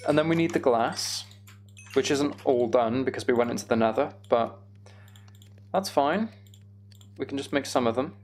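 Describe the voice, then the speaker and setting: A young man giving casual, meandering gameplay commentary on a live stream, thinking aloud and chatting to viewers, with a creaky voice. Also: mid-range voice, moderate pace, long pauses, slight background noise.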